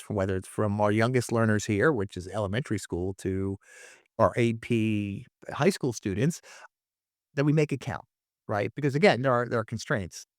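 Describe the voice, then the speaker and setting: A man's voice: medium pitch, dry recording, studio quality. A man hosting a podcast interview in an informal, discursive, conversational way, often checking in as he goes. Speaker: podcast host, history teacher.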